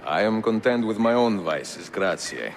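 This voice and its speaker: An actor playing an older, courteous and wry Italian master assassin in a video game, with an Italian accent and a measured, formal delivery. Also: male voice, lowish voice, very animated, a little hiss or hum. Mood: calm, gracious. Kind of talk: video game cutscene acting.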